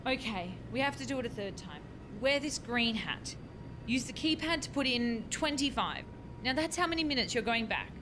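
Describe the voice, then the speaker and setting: An actress performs a harried young woman scientist in a radio comedy. Her high voice swoops about, flustered and dryly exasperated, over a clearly noisy, rumbling home recording. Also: animated, moderate pace, natural voice. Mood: brisk, exasperated. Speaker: radio play actor. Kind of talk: comic radio play acting.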